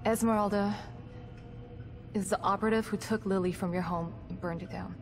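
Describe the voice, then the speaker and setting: Woman playing female psychologist, medium pitch, composed, caring, brave, video game drama, loud music underneath. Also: steady tone, fairly quick, lightly acted. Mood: consoling.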